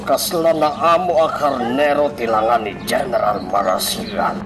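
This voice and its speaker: A man with a Filipino Ilocano accent playing a haughty, scheming, powerful political boss in a radio drama, deliberate and commanding. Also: mid-range voice, fairly even intonation, natural voice.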